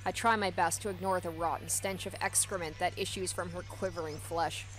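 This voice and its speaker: A woman's voice: fairly low pitch, steady tone, fairly quick, soft background music. A woman hosting a let's play, reading a visual novel aloud and adding casual commentary. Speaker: let's play host and narrator.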